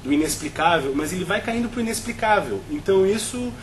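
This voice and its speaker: A man's voice: sits high, lively intonation, a little fast, a little hiss or hum. A Brazilian man on a film review panel, talking at length with frequent restarts and tag questions.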